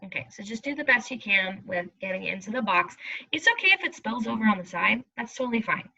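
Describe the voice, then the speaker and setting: A woman's voice: mid-range voice, expressive, fairly quick, vocal fry, pushed and bright, husky. A woman teaching young pupils, reading aloud and giving reassuring instructions with frequent checking questions, on a slightly muffled recording. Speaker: elementary school teacher.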